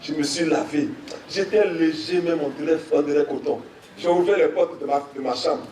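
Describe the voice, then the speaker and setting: A young man with an Ivorian French accent preaching a testimony sermon, telling his story and rising to shouts with repeated phrases. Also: fairly high pitch, normal speed, slight background noise. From Côte d'Ivoire. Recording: echoey, from far off, faint music.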